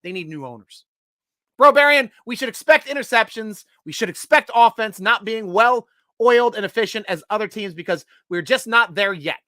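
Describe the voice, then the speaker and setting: A man hosting a live sports show, reading out viewer comments in a loose, joking way, with loud shout-outs and low mutters. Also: expressive, moderate pace, no room sound. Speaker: host.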